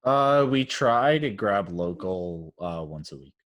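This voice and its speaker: A man's voice: deep voice, expressive, slow pace. A young man, co-hosting a casual podcast chat in a laid-back way with lots of fillers. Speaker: podcast co-host.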